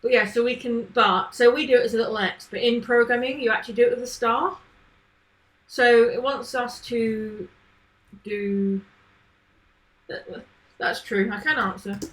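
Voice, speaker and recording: Woman, casual live-stream coder, slightly higher voice, rambling and friendly with chat. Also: fairly even intonation, moderate pace, long pauses, fairly bright tone, poor audio. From not from Manchester. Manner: chatty, thinks aloud, answers chat questions. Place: home, living in Manchester.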